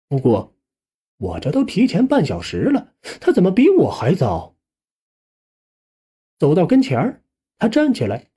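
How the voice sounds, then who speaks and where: A man's voice: on the high side, dark tone, husky. A man narrating a first-person suspense audiobook in a colloquial storytelling manner.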